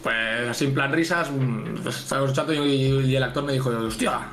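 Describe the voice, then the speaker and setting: A man's voice: mid-range voice, fairly quick, a bit bright, echoey room, faint music behind, lightly acted. A young man improvising the part of a rambling, boastful suitor on a livestreamed dating show. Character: cocky young man posing as an adult film actor. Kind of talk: improvised comic role-play.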